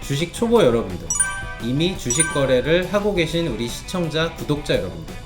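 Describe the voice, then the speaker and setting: A man presenting stock market commentary with a sales pitch, polite and explanatory, stretching key words for emphasis. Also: very animated, fairly quick, over music.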